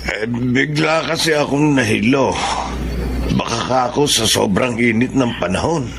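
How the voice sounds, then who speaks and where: A man's voice: mid-range voice, measured pace, gravelly, background noise. A Filipino man playing an elderly, kindly, hardworking street vendor in a radio drama, with a fatherly, folksy manner. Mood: weary, worried. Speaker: radio drama actor and narrator. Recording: music under the voice.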